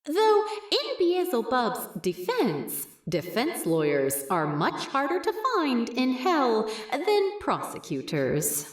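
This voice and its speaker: A woman narrating scripted fan fiction as an expressive storyteller. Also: high-pitched, slow pace, few pauses, dry recording.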